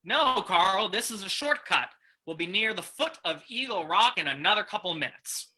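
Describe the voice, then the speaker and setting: An actor playing a menacing, smug saloon keeper in an old-time radio drama, with a folksy, mock-friendly taunting manner. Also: male voice, sits high, lively intonation, moderate pace, sharp tone, breathy edge, heavily acted, low-quality recording. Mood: sly, casual.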